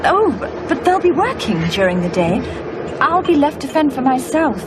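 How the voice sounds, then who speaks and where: An actress playing a poised, scheming woman, politely measured and coolly ironic, in a radio horror drama. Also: sing-song. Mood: polite, demure. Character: poised, scheming woman with a secret revenge plan. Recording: noisy rumbling background.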